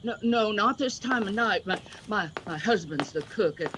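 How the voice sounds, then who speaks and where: A woman's voice: medium pitch, expressive, normal speed, fairly bright tone, a little hiss or hum, lightly acted, low-quality recording. An actress playing a suspicious, stammering married woman who runs a rural roadside stand in a radio play. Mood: wary, nervous.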